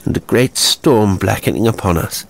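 A man's voice: lowish voice, moderate pace, vocal fry, slight background noise, pitched above the performer's own voice, poor audio. A man voicing a delicate, ill-tempered young English nobleman, drunk and muttering curses in a horror story. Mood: grumbling. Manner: muttering, grumbling.

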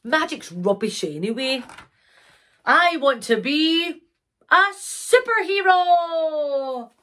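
A woman's voice: high voice, sing-song, slow, fairly bright tone, exaggerated voice. A woman voicing a bumbling male magician pony in a children's storybook, with showy, flustered patter. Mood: sulky. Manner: blustering showman patter. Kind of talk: children's picture book read-aloud.

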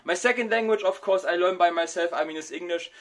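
A young man from Germany with a German accent, talking in an excitable, casual vlog style from a small room, close to the camera. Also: high-pitched, quick pace. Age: young adult. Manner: excitable, mixes in Tagalog words. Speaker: vlogger.

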